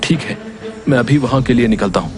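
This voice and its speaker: A man's voice: mid-range voice, lively intonation, brisk, natural voice. A man voicing a naive, easily startled young hero in a cartoon story, with a wondering, exclaiming manner. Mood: curious. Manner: wondering, exclaiming, talks to himself. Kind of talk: Hindi cartoon story voice acting. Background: music.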